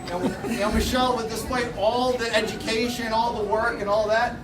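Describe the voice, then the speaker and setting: A man's voice: very high-pitched, level tone, fairly quick, background noise. A man in his thirties giving a rambling, joking rehearsal dinner toast on a handheld microphone, full of "you know" fillers. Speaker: best-man-style speaker, bride's older brother. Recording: echoey room, voice from far off. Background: loud music and crowd.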